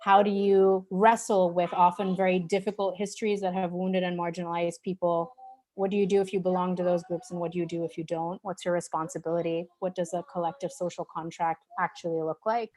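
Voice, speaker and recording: A woman's voice: lowish voice, little pitch movement, a little fast, darkish tone. Reflective woman panelist from Pakistan. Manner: reflective, frequent "um" fillers. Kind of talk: personal introduction in a panel discussion.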